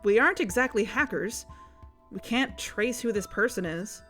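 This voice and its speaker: A woman's voice: fairly high pitch, animated, moderate pace, fairly bright tone, faint music behind. A woman playing a suspicious, determined investigator in a scripted investigative podcast drama. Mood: insistent.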